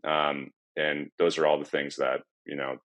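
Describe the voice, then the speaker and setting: A man speaking as a panel guest on live sound, explaining off the cuff with halting, repeated phrasing. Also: a bass voice, little pitch movement, fairly bright tone. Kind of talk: panel discussion, unscripted explanation.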